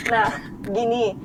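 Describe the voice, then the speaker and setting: A Malaysian woman vlogging an indignant rant, switching between Malay and Indonesian speech. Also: a little high. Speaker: YouTuber. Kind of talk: ranting vlog monologue. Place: played from another video. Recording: distant mic, noisy street ambience.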